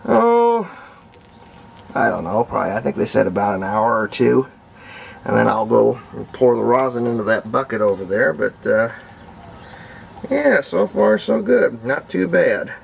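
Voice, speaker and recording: Guy w/ creaky gravelly voice doing a homemade diy video, folksy n unhurried, bit of background noise. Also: mid-range voice, big pitch swings. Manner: unhurried, folksy, thinking aloud. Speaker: hobbyist restorer and video host. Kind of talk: casual hands-on demonstration vlog.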